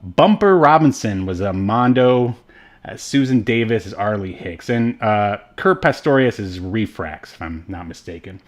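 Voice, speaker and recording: A man's voice: lowish voice, animated, normal speed. A man co-hosting a casual movie review podcast, rambling and hesitant with frequent asides. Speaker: podcast co-host.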